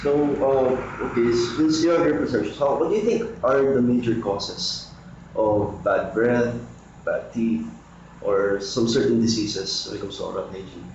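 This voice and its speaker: A man's voice: mid-range voice, normal speed, a little mellow. A man moderating a focus group, politely posing questions with fillers. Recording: echoey room, distant mic, lo-fi, light noise.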